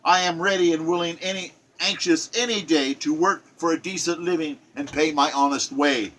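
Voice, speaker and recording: A proud, weary old woman of seventy, bound for the poorhouse, recites her lament in rhymed verse, voiced by a man in his seventies. The voice sits fairly high and rings bright and forceful. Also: fairly even intonation, moderate pace, a little hiss or hum, lightly acted, low-quality recording. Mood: plaintive. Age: 70s. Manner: declaiming rhymed verse in first person.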